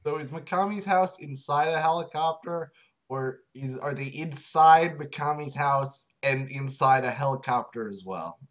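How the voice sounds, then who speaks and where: A man's voice: on the high side, a little slow. A man giving casual, wry comic commentary as co-reader, breaking in with asides.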